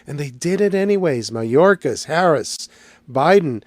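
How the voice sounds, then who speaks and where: A man's voice: fairly high pitch, expressive, a little slow, no room sound. A man hosting a casual interview, chatty and rambling, repeating his words. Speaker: host.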